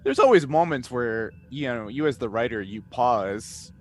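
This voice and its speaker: A man in a casual panel chat as a game master, rambling and halting as he thinks aloud. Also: mid-range voice, lively intonation. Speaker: podcast cast member and game master. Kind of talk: casual panel chat answering fan questions. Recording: noticeable background noise.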